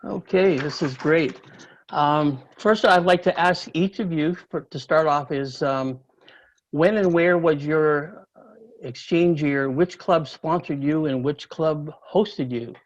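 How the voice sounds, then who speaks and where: A man's voice: fairly high pitch, takes it slowly. A man hosting a virtual meeting, introducing speakers politely over a video call. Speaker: meeting host. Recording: dull lo-fi call audio.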